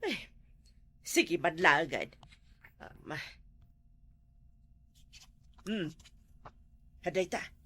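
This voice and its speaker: A woman with an Ilocano accent playing a brisk, bossy village auntie in a comedy drama. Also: fairly low pitch, expressive, fairly bright tone, husky, no echo, full character voice. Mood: brisk, reluctant.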